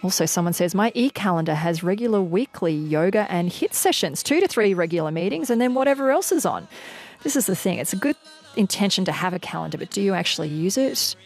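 A woman's voice: fairly low pitch, animated, fast, a little mellow, soft background music. A woman hosting a morning talkback radio show, chatty and upbeat as she takes listener calls. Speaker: radio host. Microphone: studio microphone.

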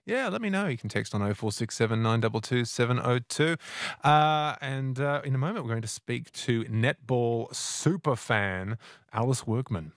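A man's voice: medium pitch, animated, normal speed, no echo, studio quality. An Australian man hosting a breakfast radio show, asking chatty questions with easygoing stumbles. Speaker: radio host. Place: radio studio. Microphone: studio microphone.

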